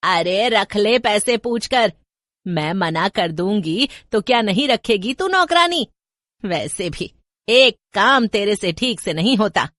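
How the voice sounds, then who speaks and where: A woman voicing a sarcastic, scolding old mother-in-law in a horror story, grumbling in sharp rhetorical questions. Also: medium pitch, animated, slow pace, no room sound, studio quality. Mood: grudging, sarcastic. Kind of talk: Hindi horror storybook narration with voices.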